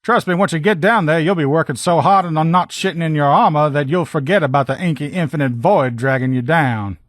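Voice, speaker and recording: A man voicing a gruff, loyal military lieutenant in a video game, with blunt, profane, folksy soldier talk. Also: a little high, fairly quick, no echo, studio quality, close to an everyday voice. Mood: briefing, commanding. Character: gruff, loyal military lieutenant in power armor, protective comrade. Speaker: voice actor in a game mod. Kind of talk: video game character dialogue lines.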